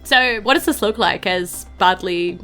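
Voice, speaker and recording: Female game master, New Zealand accent. Improvised, conversational narration, average pitch, light music bed. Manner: conversational, halting improvised descriptions. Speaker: game master of a tabletop game podcast.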